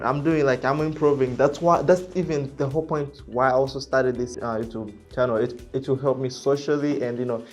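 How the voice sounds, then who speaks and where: A real estate agent vlogging to camera in English, which is not his first language. He is reserved and soft-spoken and speaks haltingly, with many fillers and self-corrections. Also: mid-range voice. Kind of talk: casual talking-to-camera vlog.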